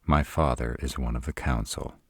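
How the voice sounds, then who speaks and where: A man's voice: extremely low pitch, steady tone, moderate pace, fairly bright tone, slightly rough, dry recording, exaggerated voice. A man playing a sarcastic, proud city-bred vampire in a scripted audio roleplay, with dry, sardonic delivery. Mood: bitter, sardonic. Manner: sardonic, dry, rhetorical questioning.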